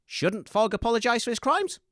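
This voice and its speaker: A woman's voice: on the high side, sing-song, brisk, fairly bright tone, dry recording, clean studio sound. A woman playing an earnest, fussy, easily exasperated radio co-host in scripted comedy, hesitant and reproachful.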